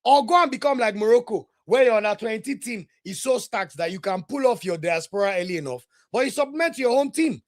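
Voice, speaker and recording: A man's voice: sits high, expressive, a little fast, hardly any pauses. A young man with a Nigerian English accent hosts a football talk show, reads viewer comments aloud and rants passionately, sometimes slipping into pidgin. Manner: passionate, ranting, switches into pidgin. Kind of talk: casual commentary reacting to live comments.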